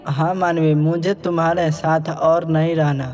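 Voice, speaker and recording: A man voices a weary young husband in a cartoon moral tale. His voice is fairly high, earnest and reproachful, with faint music behind it. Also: little pitch movement, measured pace, darkish tone, close to an everyday voice. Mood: firm, reproachful. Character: fed-up young village husband, dutiful son. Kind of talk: Hindi moral-story cartoon dubbing.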